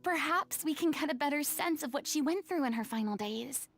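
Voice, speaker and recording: A woman's voice: high-pitched, animated, brisk, soft background music. An actress voicing a theatrical, commanding young woman in a video game, speaking with dramatic expression and authority. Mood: decisive. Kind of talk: scripted video game voice acting.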